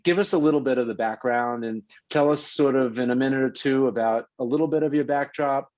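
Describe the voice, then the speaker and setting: A man's voice: medium pitch, darkish tone, no echo, muffled recording. A man with a New York accent hosting a health show, introducing a guest in an upbeat, chatty way. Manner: upbeat, chatty, spells things out slowly. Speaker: host. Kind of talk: podcast host introduction.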